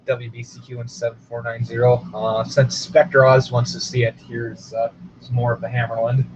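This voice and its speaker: A man casually demonstrating radio equipment off the cuff, musing to himself in a low-key way. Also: flat delivery, moderate pace. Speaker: radio hobbyist demonstrating receivers. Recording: dull lo-fi sound with radio noise. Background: shortwave radio static.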